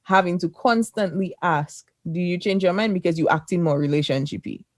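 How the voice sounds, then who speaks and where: A woman's voice: on the low side, fairly quick, no room sound, clean studio sound. A woman with a Caribbean accent, debating casually in a group conversation. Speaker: podcast panelist.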